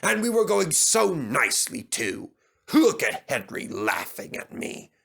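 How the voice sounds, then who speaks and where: A man's voice: a little high, wildly swooping pitch, a little slow, gravelly, fairly bright tone, husky, voice pushed up, full character voice. A man voicing a pompous, easily affronted steam engine in a storybook reading, sighing and grumbling with indignation. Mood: indignant, sulky.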